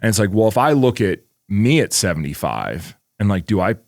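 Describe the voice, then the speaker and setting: Podcast co-host, male, around forty, very low voice, dry close sound, loose rambling delivery with frequent cursing. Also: very animated, fairly quick, creaky, no room sound. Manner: rambling, swears freely, many "like" fillers. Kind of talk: casual chat.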